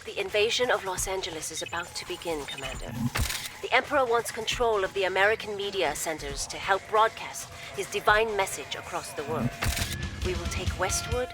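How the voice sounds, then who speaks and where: An actress playing a poised, devoted military logistics officer in a video game cutscene, speaking in a formal, measured and deferential way. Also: female voice, medium pitch, lively intonation, close to an everyday voice. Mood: formal, resolute. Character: poised, devoted female imperial logistics officer briefing commanders. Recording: loud music behind the voice.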